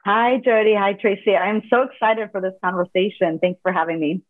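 A woman's voice: mid-range voice, steady tone, a little fast, no room sound. A woman in her forties, a podcast guest who grew up speaking Spanish, telling her story in an upbeat, fluent way with a few fillers. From Los Angeles, United States. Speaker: podcast guest, corporate lawyer and author. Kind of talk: interview conversation. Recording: dry, phone-like narrow sound.

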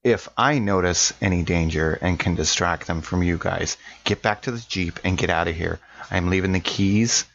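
A man's voice: low voice, moderate pace, gravelly, hardly any pauses, a bit bright, muffled recording. A man playing a tabletop roleplaying game, talking casually as he describes what his character does. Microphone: microphone.

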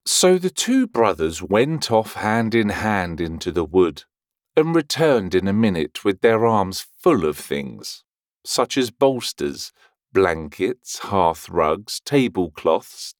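A man narrates a children's story slowly, with plenty of rise and fall in his lowish voice. The recording is dry and close. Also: sing-song, no echo. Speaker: volunteer audiobook reader.

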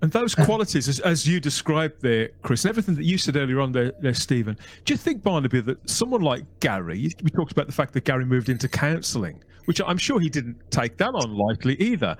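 A man's voice: medium pitch, very animated, fairly quick, hardly any pauses. A man hosting a podcast, interviewing guests conversationally in long, wordy questions with repeated phrases. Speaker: podcast host.

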